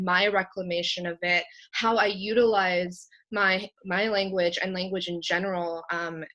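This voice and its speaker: A young woman from Canada, a workshop facilitator whose first language was Korean, talking in a reflective way with frequent ums and pauses. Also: lowish voice, fairly bright tone.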